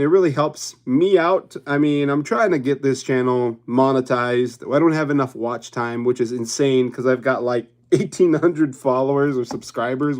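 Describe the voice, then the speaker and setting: A man's voice: a little high, darkish tone. A young man from Hawaii hosting a casual livestream, chatting with his viewers in a rambling way and laughing often. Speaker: YouTube livestream host.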